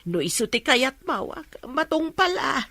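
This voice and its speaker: An actress playing an older, fretful but gentle village mother in an Ilocano radio drama, with an Ilocano accent. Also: high-pitched, big pitch swings, measured pace, no echo, heavily acted. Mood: mild, hesitant.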